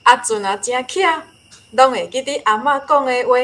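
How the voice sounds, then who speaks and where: Proud village grandmother, voiced by a woman: Taiwanese accent, fairly high voice, emphatic, folksy radio drama delivery. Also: normal speed, fairly bright tone, natural voice. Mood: proud, homely.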